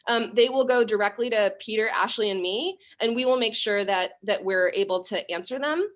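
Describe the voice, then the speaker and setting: A woman's voice: mid-range voice, moderate pace, fairly bright tone. A woman hosting a virtual school open house over a video call, laying out each step of the event plainly and moderating a panel. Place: home or office on a video call.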